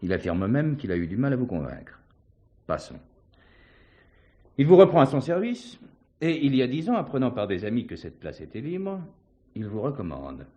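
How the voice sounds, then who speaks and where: A man playing a shrewd, assured police commissioner in a theatrical mystery, measured and ironically courteous. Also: medium pitch, wildly swooping pitch, dark tone, highs cut off. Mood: methodical, accusing. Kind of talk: French stage mystery acting.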